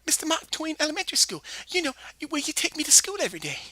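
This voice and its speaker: A woman's voice: sits high, sing-song, fast talker, pushed and bright, pitched above the performer's own voice, full character voice. A woman voicing a rambling, naive eight-year-old girl who stammers and uses childish grammar in a comedy monologue. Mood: chirpy.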